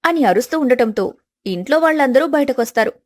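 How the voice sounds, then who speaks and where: A woman's voice: high-pitched, animated, brisk, a little mellow, no echo. A woman reading scripted dramatic narration as a storyteller.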